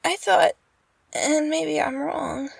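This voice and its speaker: A woman's voice: high-pitched, slow pace, vocal fry, no echo, heavily acted. A woman playing a timid, polite young lady rescued from captivity, hesitant and deferential, in an audio roleplay.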